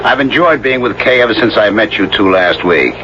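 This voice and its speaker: An actor playing an easygoing, flirtatious, smoothly defiant man in a 1970s radio drama. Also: fairly low pitch, lively intonation, a little fast, close to an everyday voice. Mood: frank. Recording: dull, noisy old radio broadcast.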